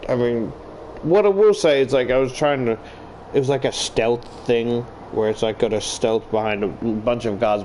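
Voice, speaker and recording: Rambling man with slow, halting delivery. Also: mid-range voice, rough recording. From Australia. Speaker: YouTuber playing and reading a visual novel. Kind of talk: casual game commentary. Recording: clear steady air-conditioner background noise.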